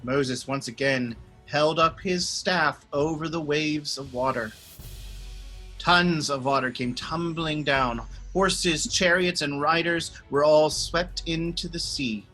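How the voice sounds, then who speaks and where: A priest telling a Bible story to children in an expressive, friendly way, addressing them as friends. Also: male voice, on the high side, slow pace, faint music behind, rough recording.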